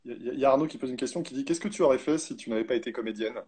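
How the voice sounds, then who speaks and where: A man's voice: mid-range voice, very fast, a little mellow, husky. A man hosting a casual live interview, reading viewer questions with many restarts and hesitations.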